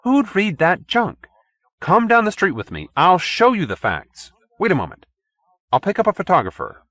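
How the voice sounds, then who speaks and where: A man's voice: sits high, huge pitch swings, normal speed, fairly bright tone, no room sound, pitched above the performer's own voice, heavily acted. A man voicing a brash, cynical newspaperman who talks in slangy, indignant wisecracks in an audiobook story. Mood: defiant.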